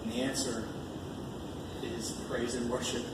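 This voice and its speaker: A man's voice: mid-range voice, flat delivery, unhurried. An American man preaching an informal sermon in a colloquial, emphatic way, repeating phrases to drive them home. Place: large echoey room at a live church gathering. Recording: echoey room from far off, noisy.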